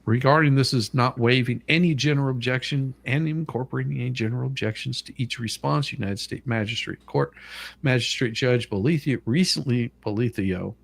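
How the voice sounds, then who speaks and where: A man's voice: medium pitch, fairly quick, vocal fry, dry recording. A man reading legal documents aloud and adding casual, blunt commentary, sometimes stumbling over the formal wording, as co-host of a livestream.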